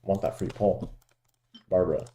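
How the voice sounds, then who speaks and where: Very mellow, low-pitched man gaming. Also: fairly even intonation, moderate pace, a little hiss or hum. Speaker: video game streamer. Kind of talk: casual gameplay commentary.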